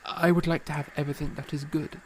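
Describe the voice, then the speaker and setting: An actor playing an earnest, impassioned and self-doubting young composer in a period audio drama, with stammering, effusive, formal old-fashioned speech. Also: male voice, on the high side, level tone, fast, darkish tone, a little hiss or hum, close to an everyday voice, poor audio. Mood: shy, hopeful.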